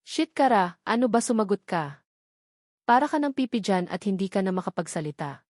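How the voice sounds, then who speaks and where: A woman's voice: medium pitch, very animated, moderate pace, darkish tone, no room sound, clean studio sound. A woman with a Filipino accent voicing a bashful young woman in love, informal and affectionate, in a romance story. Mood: flustered self-scolding.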